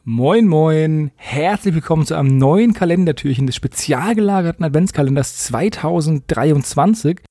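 Male podcast host, lightly pitched voice, wry casual delivery, very little room sound. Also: animated, normal speed, hardly any pauses, warm tone, no room sound, studio quality.

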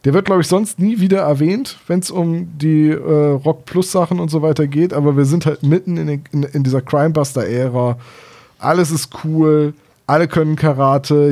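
Male podcast co-host, 30s, from Germany, lightly raised pitch, enthusiastic casual banter. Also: mellow.

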